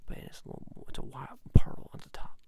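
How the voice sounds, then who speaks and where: A man doing an ASMR salesman roleplay in a breathy whisper and murmur, rambling and repeating himself in a folksy, halting sales patter.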